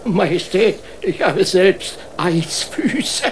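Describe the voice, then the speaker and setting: An actor playing a deferential, worried court marshal in a children's radio play, halting, stammering and politely cautious. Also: mid-range voice, animated, slight background noise. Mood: hesitant, dutiful.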